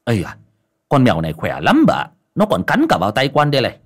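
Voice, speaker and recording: A man's voice: medium pitch, huge pitch swings, quick pace. A man voicing a timid young servant boy who stammers and bows. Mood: eager. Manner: meek, stammering, bowing.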